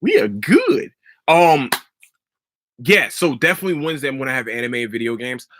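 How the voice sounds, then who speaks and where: A man hosting a solo podcast, talking in a rambling, profane and excitable way and laughing often, a little stuffy from allergies. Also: mid-range voice, normal speed, slight background noise. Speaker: podcast host. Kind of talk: solo casual podcast talk.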